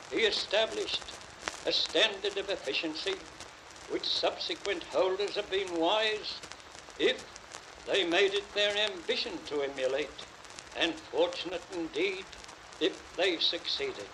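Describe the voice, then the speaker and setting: Old crackly 78 record, aussie man doing a formal toast, high voice, slow solemn oratory. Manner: oratorical, solemn, deliberate with measured pauses. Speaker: speaker proposing a toast at a lodge dinner. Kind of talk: formal ceremonial after-dinner speech. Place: lodge dinner gathering. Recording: noisy crackling mid-century 78rpm acetate record.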